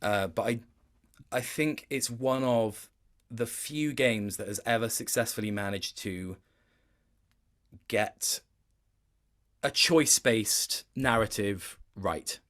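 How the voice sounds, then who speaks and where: A man's voice: on the low side, slow pace, a bit bright. Male interviewee, casual and reflective, thoughtful delivery that doubles back while finding the right words. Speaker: interview guest, voice actor.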